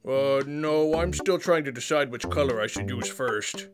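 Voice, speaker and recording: A man's voice: medium pitch, moderate pace, over music, close to an everyday voice. A man voicing an indecisive, childlike, friendly monster puppet for a kids' show, exclaiming and drawing out words as he dithers. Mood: torn, dithering.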